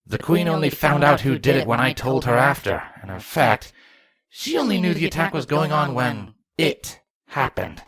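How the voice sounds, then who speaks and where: A man's voice: mid-range voice, very animated, normal speed, crowd noise, pitched above the performer's own voice, natural voice. A man voicing a stern, loyal changeling soldier who is proud and grieving, in a storybook reading. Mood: defensive.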